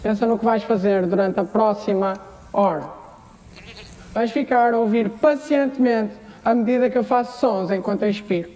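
Actor as a mellow, earnest radio narrator. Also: medium pitch, normal speed, natural voice, poor audio. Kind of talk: dramatic narration and radio drama acting.

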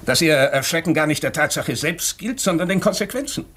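An actor playing an earnest, probing doctor in a German radio drama, speaking with deliberate, morally insistent urgency. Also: male voice, on the high side, lively intonation, fairly bright tone, a little hiss or hum. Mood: probing, tactful.

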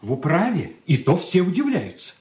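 High-voiced, pompous, petty son-in-law. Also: male voice, wildly swooping pitch, normal speed, darkish tone. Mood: aggrieved. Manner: fussy, pompous, querulous. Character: petty, self-important, grievance-nursing son-in-law, a minor official. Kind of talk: Soviet radio drama stage acting. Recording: echoey studio, distant from mic.

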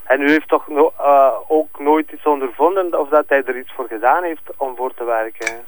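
A man playing a blunt, insinuating police questioner in a crime drama, with a Flemish accent and halting delivery. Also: mid-range voice, steady tone, dry recording, lightly acted, rough recording.

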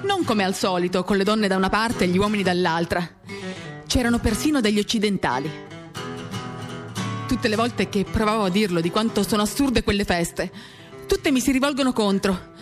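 Woman doing a literary radio reading, measured short phrases w pauses, acoustic guitar playing behind. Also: mid-range voice. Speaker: reader of a novel, performing a radio reading. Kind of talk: literary dramatic reading, first-person monologue. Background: acoustic guitar music.

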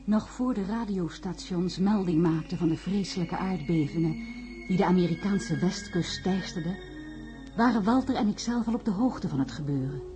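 A woman's voice: lowish voice, moderate pace, over music, lightly acted. An actress playing an earnest, worried woman who narrates and speaks in a Dutch science-fiction radio drama. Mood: earnest, narrating. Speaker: narrator and lead character in a radio play.

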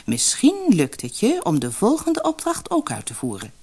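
A woman voicing a stern, mysterious old woman in a fairy tale, speaking gravely and with command. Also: fairly low pitch, huge pitch swings, moderate pace, darkish tone, full character voice. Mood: quietly approving.

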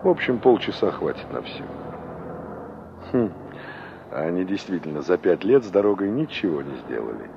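An actor playing a wistful young engineer in an old Soviet radio play, musing to himself and polite with strangers. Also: male voice, low-pitched, big pitch swings, normal speed, gravelly, pauses often, heavily acted. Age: young adult. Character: young male engineer revisiting a remembered village, wistful. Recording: noisy, dull old radio play recording.

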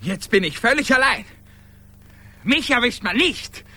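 Radio drama lead: pleading, halting everyman lover, high bright voice, clings stubbornly. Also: expressive, heavily acted. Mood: defiant, anguished. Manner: pleading, halting, repeats himself. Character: desperate, devoted everyman lover clinging to his humanity. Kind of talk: German radio play acting.

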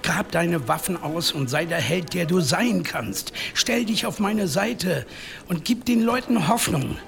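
A man acting an emphatic village headman in a German radio drama, firm and urging calm with authority. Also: high-pitched, a little slow, creaky, heavily acted. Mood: grave, urgent. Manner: emphatic, declamatory.

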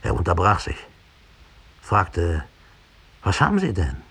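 An actor playing a cold, embittered middle-aged German killer who slowly and broodingly confesses in a 1960s radio play. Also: male voice, low-pitched, huge pitch swings, a bit bright, slightly rough, full character voice. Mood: grimly reliving. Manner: slow, deliberate, brooding storytelling.

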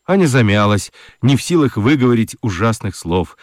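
A man narrating a scripted children's radio story in an expressive, dramatic storytelling manner. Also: mid-range voice, normal speed, no echo, clean studio sound.

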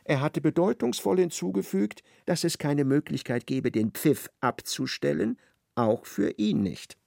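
A man narrating a radio play in an ironic, expressive storytelling manner. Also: fairly high pitch, measured pace, vocal fry, dry recording, clean studio sound.

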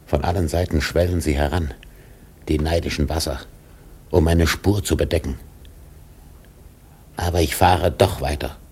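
An actor playing an obsessed, domineering old whaling captain in a radio drama, gravelly and declamatory with brooding pauses. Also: male voice, extremely low pitch, steady tone, darkish tone, heavily acted. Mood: bitter brooding. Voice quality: gravelly, husky. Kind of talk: German radio drama acting.

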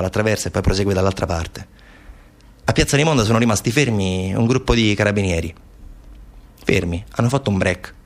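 A man performs a theatrical narrative monologue at a very quick pace. His delivery is urgent and vivid, built from short bursts in the present tense. The pitch of his voice sits in an ordinary middle range for a man. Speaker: stage actor, solo storyteller.

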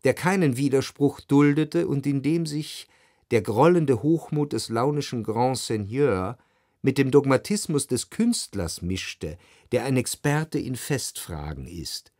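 A man narrating a literary radio play in the first person, measured and composed, in a dry studio. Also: mid-range voice, darkish tone, dry recording. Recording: dry close studio sound.

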